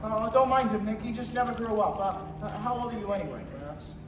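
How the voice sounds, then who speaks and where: A young man playing a frantic, bewildered family man in a high school stage radio play, stammering and urgent. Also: expressive, quick pace. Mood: uneasy. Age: young adult. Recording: roomy, distant theater recording with faint noise.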